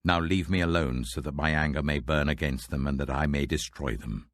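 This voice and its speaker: A man's voice: rumbling low, a little fast, a bit bright, no echo, clean studio sound. A man voicing a stern, wrathful God in a dramatic scripture reading, grave and commanding. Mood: stern anger. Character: the Lord God, stern, wrathful male deity.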